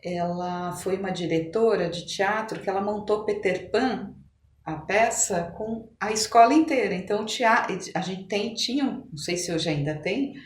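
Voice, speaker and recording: A woman's voice: mid-range voice, moderate pace. Echoey recording of a Brazilian woman in her sixties. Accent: Brazilian Portuguese. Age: 60s. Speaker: podcast guest. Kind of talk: reminiscing in a conversational interview. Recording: echoey room with clear background noise.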